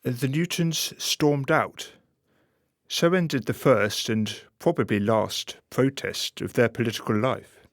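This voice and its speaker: A man narrating a scripted radio play in a wry, measured storytelling manner. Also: medium pitch, no echo, studio quality.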